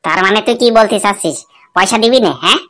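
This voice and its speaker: A woman's voice: medium pitch, fast, a bit bright, close to an everyday voice. A woman voicing an abusive, foul-mouthed bald drunkard of a husband in a cartoon comedy. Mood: menacing. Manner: threatening, swearing, demanding. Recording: very noisy, clicking background.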